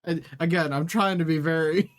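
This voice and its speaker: A man's voice: fairly high pitch, steady tone, normal speed. A man chatting casually on a stream in a tentative, unsure way. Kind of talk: casual chat.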